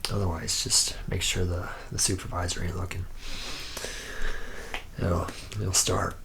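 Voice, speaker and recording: Man playing a deep, gravelly, jaded factory slacker. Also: level tone, a little slow, fairly bright tone, a little hiss or hum, heavily acted. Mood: laid-back, sly. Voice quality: gravelly, low, partly whispered. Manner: rambling, hesitant drawl with sardonic asides. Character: jaded, boozy, sleazy factory worker training a recruit. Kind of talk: ASMR roleplay.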